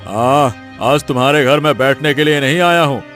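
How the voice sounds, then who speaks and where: Man playing a bossy scheming village villain, medium pitch. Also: moderate pace, fairly bright tone, faint music behind, lightly acted. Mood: menacing. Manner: domineering, scolding. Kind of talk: Hindi cartoon moral story dubbing.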